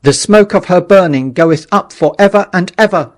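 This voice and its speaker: A man's voice: high voice, normal speed, voice pushed up, natural voice. A man voicing a distraught, half-mad curate who wails and preaches doom in an audiobook story. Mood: doom-laden frenzy. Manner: frantic, wailing, biblical preaching.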